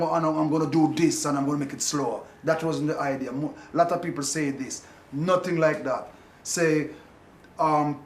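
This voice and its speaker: A man in an interview, explaining music by vocalising bass and piano parts. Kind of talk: reminiscing interview. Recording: echoey room with faint noise.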